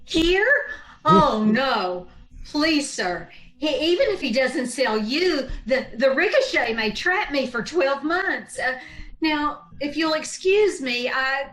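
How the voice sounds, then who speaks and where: A wry schoolteacher in a radio comedy, acted by a woman. Her voice is on the high side and comes with a clear room echo. She tosses out dry, sardonic wisecracks. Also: lively intonation, slow pace, background noise. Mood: alarmed. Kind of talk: old-time radio sitcom acting. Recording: roomy, echoey video-call recording.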